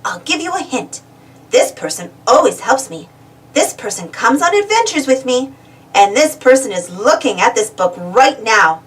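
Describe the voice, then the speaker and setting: A woman reads a picture book aloud for small children in a high voice. Her delivery swings widely in pitch and speaks straight to the young listener. She sounds slightly away from the microphone. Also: normal speed, distant mic. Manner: expressive, asking questions directly to young listeners. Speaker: storybook reader. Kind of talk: animated read-aloud storytelling for young children.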